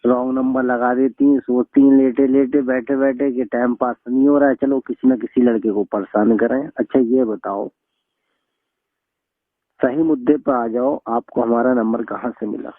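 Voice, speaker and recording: A man's voice: mid-range voice, steady tone, moderate pace, dry recording. A young man, arguing bluntly and scoldingly in a phone conversation. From northern India. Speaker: phone call recipient. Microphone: telephone. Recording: tinny phone-line call recording.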